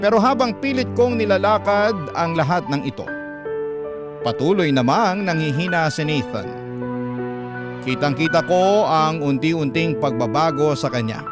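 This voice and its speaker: A man reading as a sorrowful letter-writing mother, loud music. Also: a little high, expressive, normal speed, natural voice. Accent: Filipino. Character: struggling mother telling her story by letter. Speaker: radio narrator reading a listener's letter. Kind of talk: dramatic scripted narration. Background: loud music under the voice.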